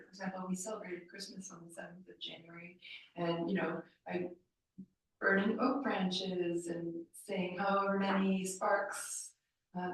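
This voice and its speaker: A woman from Toronto, raised speaking Serbian first, chatting as a podcast guest in a storytelling way. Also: medium pitch. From Toronto, Canada. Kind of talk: casual interview chat. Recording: echoey room, distant mic.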